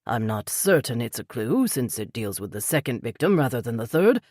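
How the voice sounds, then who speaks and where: A woman voicing a polite, unflappable older British gentleman with a clipped British accent. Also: rumbling low, sing-song, moderate pace, dry recording, clean studio sound, exaggerated voice. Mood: calm, polite.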